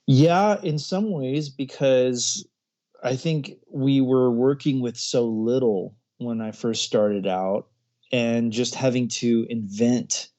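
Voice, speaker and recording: A man's voice: medium pitch, slow pace, dark tone. A man in his thirties, a filmmaker guest in a casual interview, chatty and often laughing as he talks. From grew up overseas, American parents.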